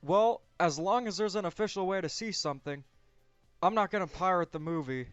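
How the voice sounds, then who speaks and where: A man's voice: high voice, brisk, fairly bright tone, smooth, no echo, highs cut off, soft background music. A young man speaking as a discussion host in a casual, conversational style. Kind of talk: casual chat.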